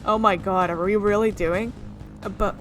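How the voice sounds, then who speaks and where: A woman hosting a gaming video, reading a visual novel aloud in an expressive way with exclaimed reactions. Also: mid-range voice, normal speed, soft background music.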